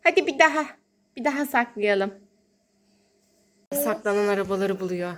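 A woman's voice: medium pitch, lively intonation, moderate pace, a bit bright. A woman hosting toy play for young children as a mother, talking in a sing-song, exclaiming way and drawing out her words.

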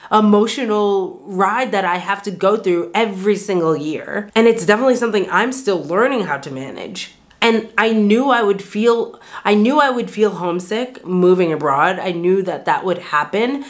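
A reflective American woman in her thirties speaks straight to the camera from a bright little bedroom. Her voice sits a touch low, and she talks in an earnest, searching way, circling back with "like" and restarting her sentences. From United States. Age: 30s. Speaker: vlogger. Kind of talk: candid talk to camera. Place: bright small bedroom indoors. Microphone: camera framed chest-up at desk distance.

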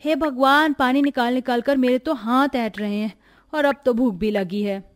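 Woman as plaintive high-voiced hungry girl. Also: normal speed, lightly acted. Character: poor, hungry, anxious young girl.

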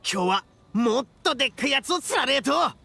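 A man voicing a brash, boastful, rough-talking fisherman in an anime game, with a gravelly, forceful voice. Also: very high voice, big pitch swings, a little fast, a little hiss or hum, exaggerated voice. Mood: determined, boastful.

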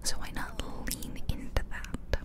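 Female ASMR host, whispered delivery, intimate close mic, relaxed and informal gaming chatter. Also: measured pace. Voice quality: soft, breathy whisper. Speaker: ASMR video host and gamer. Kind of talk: ASMR whispering, casual rambling commentary on a game. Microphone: close ear-to-ear ASMR microphone.

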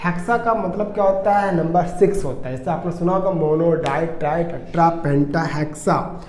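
A man's voice: fairly high pitch, normal speed, a little mellow. Man, Indian accent, teacher explaining step by step, question-and-answer delivery, echoey room, faint background noise. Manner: asks and answers own questions. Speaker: chemistry teacher. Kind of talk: explanatory lesson at a whiteboard. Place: indoor room in front of whiteboard.

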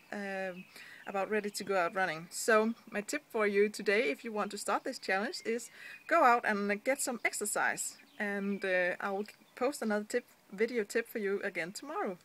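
Bright-voiced Danish woman vlogging. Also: mid-range voice. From Denmark. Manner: chatty, with frequent fillers and small laughs. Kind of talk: casual self-recorded vlog. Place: outdoors beside a brick wall. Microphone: handheld phone camera at arm's length.

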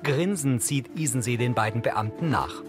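A man's voice: medium pitch, moderate pace, lightly acted. A man reading scripted audio description narration for a TV episode. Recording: music behind the narration. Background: music.

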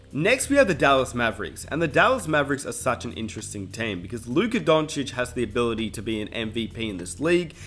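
A young man with an Australian accent talks through his sports opinions in an emphatic way. His voice sits at an ordinary middle pitch and rises when he makes a strong point. Soft music plays faintly in the background. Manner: emphatic, rising on key points. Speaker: basketball channel host. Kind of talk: opinion commentary over game clips.

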